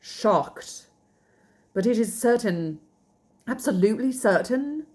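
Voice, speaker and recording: A woman reading the lines of a grave, shocked gentleman in short, solemn phrases. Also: fairly high pitch, expressive, slow, voice pushed up.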